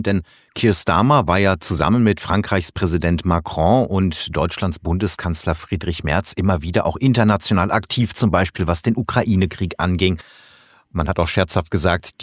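A male interviewer with a low voice that has a rough, husky grain. He puts prepared questions as a news host. The recording is close and dry. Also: dry recording.

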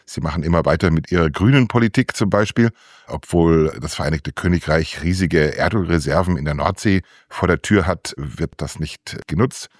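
A man's voice: deep voice, moderate pace, no echo, studio quality. A man giving unscripted political commentary as a correspondent answering interview questions. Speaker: political correspondent reporting from London.

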